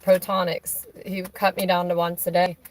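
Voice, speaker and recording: A woman with a hoarse, raspy voice chatting casually on a live stream, rambling with many fillers and restarts. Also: on the low side, little pitch movement, moderate pace, slight background noise, rough recording. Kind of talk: casual chat on a phone call during a stream.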